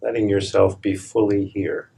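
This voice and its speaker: A man leading a guided meditation as a spiritual teacher, speaking calmly and deliberately in short phrases with long pauses between them. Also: on the low side, creaky, slightly rough. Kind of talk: guided meditation, slow spoken instructions. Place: live meditation session in Los Angeles.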